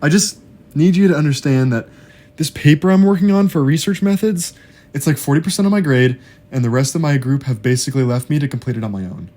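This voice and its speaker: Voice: mid-range voice, fast talker, mellow, lightly acted. An actor playing an anxious young college student in an audio drama, hesitant and pleading. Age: young adult. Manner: hesitant, worried.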